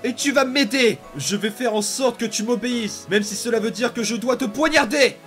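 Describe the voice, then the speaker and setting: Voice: mid-range voice, moderate pace, a bit bright, faint music behind, close to an everyday voice. An actor voicing a vicious, obsessive young demon boy in a dramatic comic dub, taunting and theatrical. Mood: domineering. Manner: menacing, taunting, theatrical outbursts and mutters.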